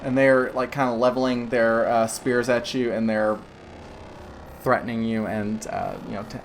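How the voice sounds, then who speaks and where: A woman running a tabletop game as game master, narrating in a loose, halting way full of "like" and "kinda". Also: rumbling low, steady tone, a little hiss or hum, rough recording.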